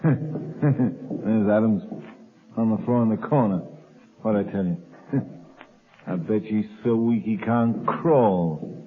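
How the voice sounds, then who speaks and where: Radio drama: a nervous thug, rough speech, lowish voice, thin 1930s broadcast. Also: male voice, takes it slowly, pauses often, a little hiss or hum. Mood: gruff. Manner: rough tough-guy speech with nervous chuckles. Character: jumpy, nervous male gangster henchman. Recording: tinny old radio broadcast.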